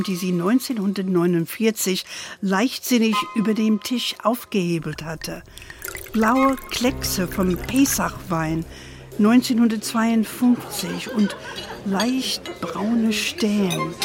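Slow, deliberate storytelling grandmother. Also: mid-range voice. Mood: solemn narrating. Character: grandmother Josephine, storytelling older woman, fond and dramatic. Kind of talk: radio play storytelling for children.

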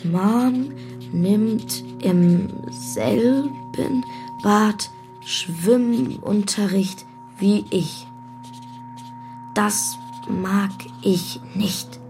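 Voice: mid-range voice, drawn-out words, music in the background. An actor playing a withdrawn, homesick eight-year-old boy who answers guardedly in a radio drama. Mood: sullen. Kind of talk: German radio drama acting.

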